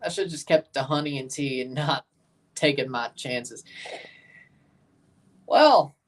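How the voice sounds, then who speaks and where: A woman's voice: a bass voice, a little slow. A woman hosting a livestream watchalong, giving casual live football commentary and chatting with viewers, often repeating and correcting herself.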